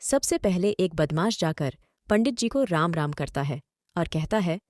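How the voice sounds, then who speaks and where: A woman's voice: on the low side, expressive, measured pace, a little mellow, no room sound, clean studio sound. A woman narrating a scripted story as host and storyteller.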